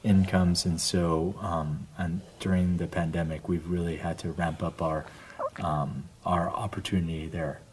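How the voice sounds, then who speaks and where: A middle-aged man hosting a casual family interview, relaxed, with a slightly raspy voice and a habit of trailing off softly. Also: low-pitched, unhurried, background noise. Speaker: host.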